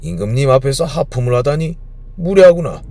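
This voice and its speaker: Man playing a pompous, loudly commanding storybook king. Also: medium pitch, expressive, pitched above the performer's own voice, lightly acted. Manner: pompous, commanding, drawn-out decrees. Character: proud, commanding but good-natured old-fashioned storybook king.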